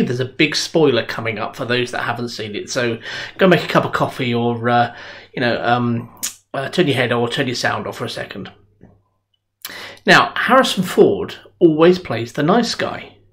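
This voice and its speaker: A middle-aged man, a minister, giving a sermon in a conversational, earnest storytelling way from his home study into a webcam. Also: medium pitch. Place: home study with bookshelves, indoors. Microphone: webcam at desk.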